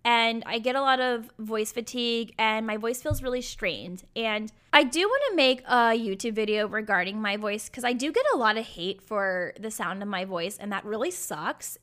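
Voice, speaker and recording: Raspy, bright-voiced young woman. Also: fairly high pitch. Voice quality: raspy, sometimes croaky. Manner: candid, chatty, with fillers. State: hoarse from acid reflux. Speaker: podcast host. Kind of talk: solo podcast monologue.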